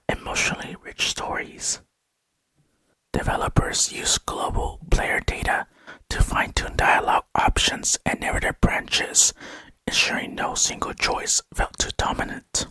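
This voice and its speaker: Whispering man, crisp close-up ASMR narration. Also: pushed and bright, no room sound, low-quality recording. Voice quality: breathy unvoiced whisper. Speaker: gaming video host. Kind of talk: ASMR whispered narration over gaming video. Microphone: close microphone, dry sound.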